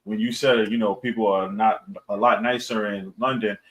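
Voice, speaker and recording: A man's voice: on the low side, fairly even intonation, moderate pace, fairly bright tone, poor audio. A man hosting a casual podcast interview, speaking in a hesitant, loosely improvised way. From New York, United States. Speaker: podcast host.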